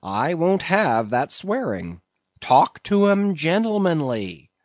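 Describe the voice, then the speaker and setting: Man playing a brash sea officer, high barking voice, loud orders, storybook, muffled sound. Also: sing-song, takes it slowly, dry recording. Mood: scolding. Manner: shouting orders, brusque. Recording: dull lo-fi recording.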